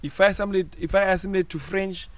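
A man with a Zimbabwean accent co-hosting a casual podcast, animated, jumping in over his co-host and switching between English and Shona. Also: high voice, racing, distant mic.

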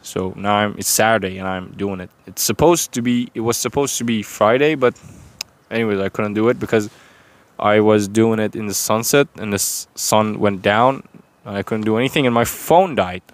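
A young man with a Persian accent talks unscripted into his phone camera, his voice sitting on the low side. He rambles and hesitates, with plenty of fillers and restarts. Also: moderate pace, dry recording. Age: young adult. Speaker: vlogger.

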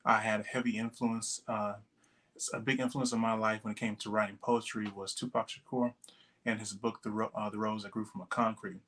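A young man from Texas in his late twenties speaks as a podcast guest. His voice sits low and moves little in pitch. He talks in a loose, rambling way, full of little fillers. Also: flat delivery, brisk, fairly bright tone. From Texas, USA. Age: 20s. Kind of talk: casual interview talk.

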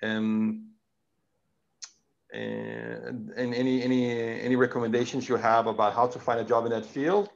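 A man's voice: mid-range voice, lively intonation, measured pace, gravelly. Danish man hosting over dull audio. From Denmark. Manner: hesitant, many fillers and restarts, summarizes guest's points. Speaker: interview host. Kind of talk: casual interview. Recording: dull, muffled sound.

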